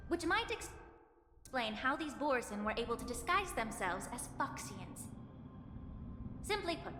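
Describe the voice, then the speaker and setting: A learned young lady alchemist for a fantasy game. The voice is fairly high and very expressive, with precise, formal phrasing. It rings with reverb over a clear music bed. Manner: measured, precise, formal explaining. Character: composed, scholarly young woman alchemist, head of a medicine guild. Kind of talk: scripted video game voice acting. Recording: echoey reverb, music behind.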